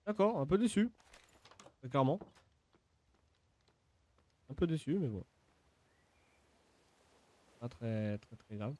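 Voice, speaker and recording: A man's voice: medium pitch, sing-song, slow pace, long pauses, darkish tone, highs cut off. Casual male gaming commentator. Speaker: gaming video creator.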